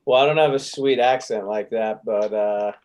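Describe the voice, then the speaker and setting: A man's voice: on the low side, normal speed, a little mellow. A man chatting casually as a guest on a live show over a video call, easygoing and joking. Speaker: dispatch supervisor and guest. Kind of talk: casual podcast chat.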